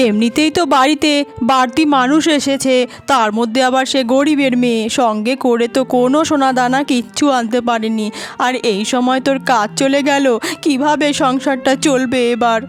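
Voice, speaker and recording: A woman's voice: sits high, steady tone, normal speed, natural voice. A woman playing an anxious, scolding older mother-in-law in a melodramatic cartoon, with dramatic, lamenting delivery. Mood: alarmed, scolding. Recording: music behind the voice.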